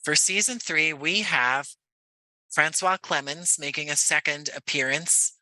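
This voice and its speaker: An American man talking conversationally as an interview guest, choosing his words in an articulate, measured way. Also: on the high side, pushed and bright, no room sound, poor audio. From Jersey City, United States. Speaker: podcast guest, audio play creator.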